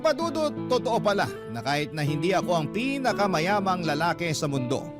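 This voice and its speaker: A devoted husband narrating his love story. His voice is slightly high for a man, in a sentimental radio drama style. Loud instrumental music runs behind him. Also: big pitch swings, a little fast. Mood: sentimental, grateful.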